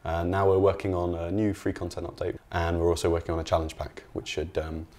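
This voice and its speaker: Male developer interviewee with a low voice, informal and conversational, explaining features in loose, hedged phrases. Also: completely flat delivery, brisk, darkish tone. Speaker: video game designer being interviewed.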